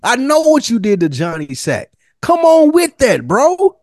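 A man co-hosting a podcast, talking in an animated, emphatic way with profane slang. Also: very high-pitched, measured pace, no echo, clean studio sound. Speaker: podcast co-host. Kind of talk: casual chat.

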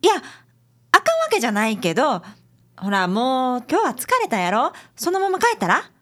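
An actress playing a breezy, capable detective's assistant in a radio drama, expressive and brisk. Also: female voice, high voice, heavily acted. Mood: casual, brisk.

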